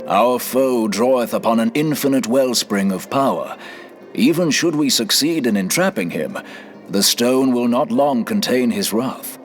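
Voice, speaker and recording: A man's voice: medium pitch, faint music behind, natural voice. Solemn, courtly mystic scholar played by a man. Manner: formal, archaic, measured phrasing. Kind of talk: fantasy game cutscene acting.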